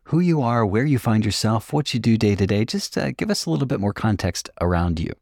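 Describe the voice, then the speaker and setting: A man's voice: on the low side, lively intonation, fairly quick, a little mellow, no room sound, clean studio sound. A man hosting a podcast interview in a polished, presenterly style. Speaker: podcast host.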